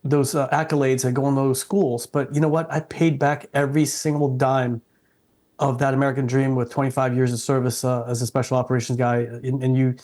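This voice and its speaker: A man's voice: medium pitch, level tone, a little fast, darkish tone. A middle-aged man, born in Vietnam and speaking English, being interviewed and talking earnestly and respectfully, often restarting his sentences. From South Vietnam. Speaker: guest.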